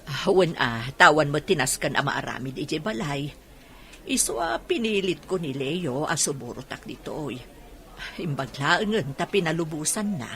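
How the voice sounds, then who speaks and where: A woman's voice: low-pitched, very animated, normal speed, a bit bright, heavily acted. A woman acting a shy, easily teased middle-aged single woman in an Ilocano radio drama. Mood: flustered.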